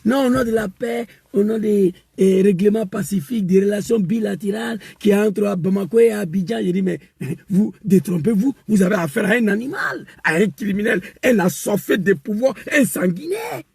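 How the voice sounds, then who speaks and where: Man, gravelly, dry close sound, fiery political rant. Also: high-pitched, normal speed, hardly any pauses, dry recording. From West Africa. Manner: emphatic, repetitive, rising to shouts. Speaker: political commentator.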